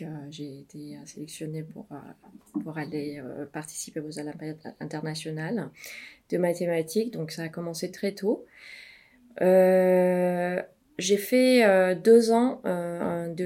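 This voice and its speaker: A middle-aged woman from Iran, a research mathematician, answering interview questions with many pauses and fillers. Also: lowish voice, dark tone.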